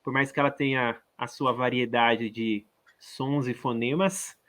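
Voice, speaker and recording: A man's voice: mid-range voice, fairly even intonation, a little slow. A man with a Brazilian Portuguese accent, co-hosting a radio show in casual conversation, chatty and full of tag questions. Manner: chatty, warm-hearted, uses many tag questions. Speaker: radio co-host. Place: radio studio.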